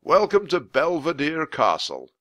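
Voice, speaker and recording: A man playing a polite old-fashioned gentleman. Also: a little high, wildly swooping pitch, measured pace, no room sound, clean studio sound, voice pushed up, full character voice. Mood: formal. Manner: proper, old-fashioned, slightly lilting. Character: tall thin older man, formal and polite.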